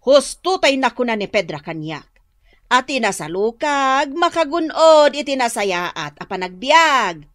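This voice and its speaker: A woman's voice: sits high, a little slow, no echo, clean studio sound. A woman playing a preachy, exasperated elderly mother in a radio drama, with an Ilocano accent and a reproachful manner. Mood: reproachful lecturing. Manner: expressive, reproachful.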